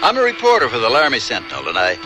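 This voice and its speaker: An actor playing a nosy, wry frontier reporter with a folksy, glib manner in a radio western. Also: male voice, medium pitch, huge pitch swings, very fast, music in the background. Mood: breezy, friendly. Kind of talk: old-time radio western acting.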